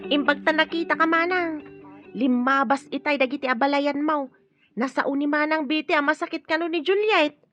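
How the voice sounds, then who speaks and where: A woman's voice: high voice, fairly even intonation, a little fast, a bit bright. A woman playing a concerned, helpful relative in an Ilocano radio drama, speaking earnestly and deferentially. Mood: confiding. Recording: dull lo-fi audio with music.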